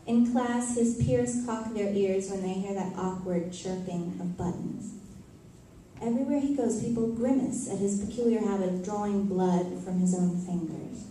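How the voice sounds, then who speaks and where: Woman, theatrical monologue reader, mellow tone, deliberate pauses, very echoey noisy room. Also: mid-range voice. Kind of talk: dramatic first-person monologue reading on stage. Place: live reading event in a tavern. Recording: echoey tavern room, distant mic, noisy.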